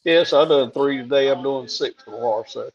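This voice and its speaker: A man with a hoarse voice, chatting casually and plain-spoken on a live stream, laughing things off. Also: medium pitch, a little fast. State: hoarse after a throat tube. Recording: dull lo-fi call audio.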